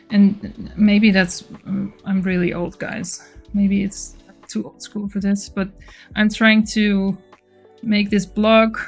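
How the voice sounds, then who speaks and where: Slow-talking Dutch woman chatting on stream. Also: mid-range voice, vocal fry. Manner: chatty, reads chat aloud, frequent laughs and restarts. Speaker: live streamer. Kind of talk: casual livestream chat while working.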